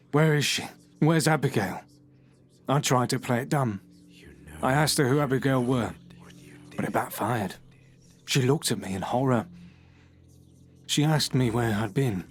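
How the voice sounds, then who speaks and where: An actor playing a troubled, unwell man who confesses to the police in a halting, hushed voice with nervous laughs. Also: mid-range voice, a little hiss or hum, close to an everyday voice. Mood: haunted. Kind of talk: audio horror drama.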